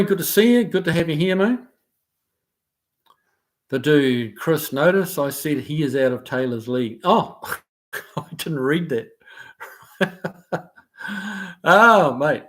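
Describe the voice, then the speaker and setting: A man from New Zealand hosts a relaxed live stream, and his New Zealand accent has been softened by years abroad. He reads out viewer comments and answers each one in an easygoing, chatty way. His pitch sits in an ordinary middle range. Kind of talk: casual live stream chat.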